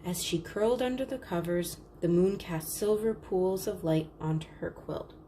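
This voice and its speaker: A woman reads a children's picture book aloud. Her voice sits a little low and her delivery is measured and expressive. There is noise behind her. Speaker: storybook reader. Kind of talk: scripted children's story read-aloud. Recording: clearly noisy background.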